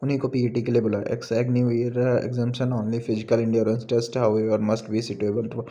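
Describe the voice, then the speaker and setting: Flat, mellow Indian man explaining exam rules. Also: medium pitch. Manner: halting when reading English aloud, switches between Hindi and English. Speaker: exam information presenter. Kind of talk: informal explainer, reading out notice and commenting.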